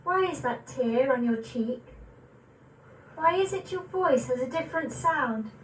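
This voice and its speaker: A young woman of eighteen playing a curious, innocent little granddaughter, asking questions in a measured verse recital. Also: high voice, expressive, reverberant, distant mic, a little hiss or hum, low-quality recording. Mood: concerned. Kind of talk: dramatic poetry recital.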